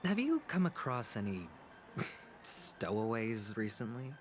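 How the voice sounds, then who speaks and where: A man voicing a smooth young schemer who laughs softly and sometimes drops to a whisper, in a game with background noise. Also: mid-range voice, huge pitch swings, slow. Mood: amused.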